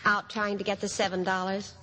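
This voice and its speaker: A woman's voice: mid-range voice, level tone, brisk, pushed and bright, lightly acted. Woman acting a worried radio mother, noisy recording. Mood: concerned. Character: gentle, worried mother, soothing yet firm. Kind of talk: old-time radio drama acting.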